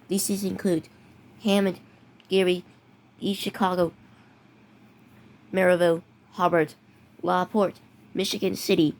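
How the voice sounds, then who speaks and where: Stumbling mock emergency announcer. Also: female voice, mid-range voice, a little mellow, low-quality recording. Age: teenager. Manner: halting, many restarts and stumbles. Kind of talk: improvised fake emergency broadcast.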